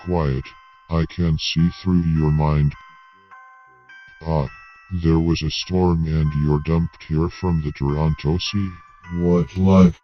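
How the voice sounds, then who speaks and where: A man voicing a cold alien military governor who speaks in a monotone. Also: rumbling low, slow pace, faint music behind, voice pushed down. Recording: dull lo-fi with hiss.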